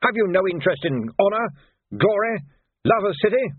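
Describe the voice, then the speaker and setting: A man's voice: sits high, lively intonation, brisk, slightly rough, no room sound, pitched above the performer's own voice. A man voicing a stiff, flustered army major who speaks in a clipped, proper way. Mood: stern. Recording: muffled phone-like recording.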